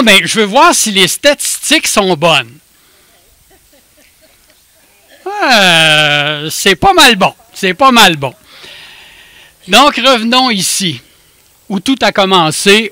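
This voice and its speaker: Guy doing a long-dead french priest giving a history speech, piercing bright voice, dry sound. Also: high voice, no echo, exaggerated voice. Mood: amused. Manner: deliberate, teacherly, engages the listeners with questions. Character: long-dead male French Sulpician priest, genial town founder. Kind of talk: first-person historical re-enactment speech.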